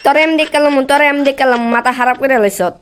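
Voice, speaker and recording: A woman's voice: high voice, fast, noisy background, voice pushed up, close to an everyday voice. A woman putting on a swaggering male neighborhood tough who talks in threats and boasts. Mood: threatening. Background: cartoon background music.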